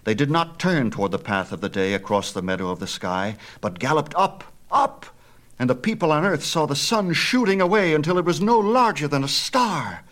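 A man narrating a myth as an audiobook storyteller, with a theatrical, measured and emphatic delivery. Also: on the high side, sing-song, a bit bright.

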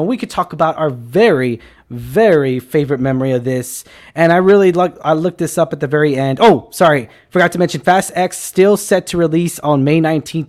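Excitable, higher-voiced man in his twenties. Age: 20s. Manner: excitable, rambling, repeats himself, slangy with "dude" and "man". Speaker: show host. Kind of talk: casual panel chat on film news.

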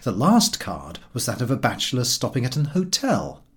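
A man reading a humorous literary story as an audiobook narrator, in a measured, theatrical storytelling manner. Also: mid-range voice, wildly swooping pitch. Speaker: audiobook reader.

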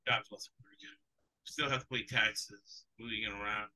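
A man's voice: fairly low pitch, steady tone, normal speed, pushed and bright, rough recording. A man hosting a casual sports betting chat in English, his second language, rambling off on tangents and laughing between points. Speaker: sports betting podcast host.

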